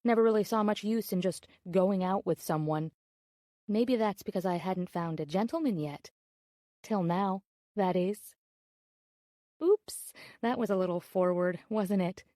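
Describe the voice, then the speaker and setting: Mellow, close-up actress as coy woman letter writer. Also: medium pitch, unhurried, no echo, lightly acted. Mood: coy, chatty. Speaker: audiobook narrator. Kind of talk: scripted audiobook narration.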